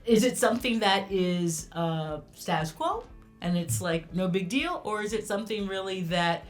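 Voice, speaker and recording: A woman's voice: mid-range voice, lively intonation, moderate pace, soft background music. A woman co-hosting a casual discussion, tentatively thinking aloud as she talks. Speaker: talk show co-host.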